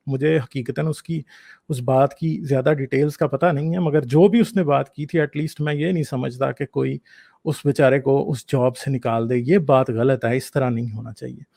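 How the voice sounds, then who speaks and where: A man's voice: on the high side, vocal fry, a little mellow, no room sound, studio quality. A man giving informal opinion commentary to viewers, explaining his points and mixing in English words. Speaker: online commentator hosting own channel.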